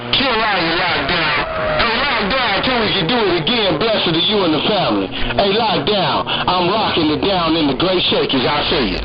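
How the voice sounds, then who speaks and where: High-voiced man on muffled CB radio. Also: animated, normal speed, over loud music. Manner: folksy, boastful, repeats "man" and catchphrases. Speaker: CB radio caller. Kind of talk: CB radio chatter with slang and greetings. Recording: muffled CB radio with loud crowd-like din.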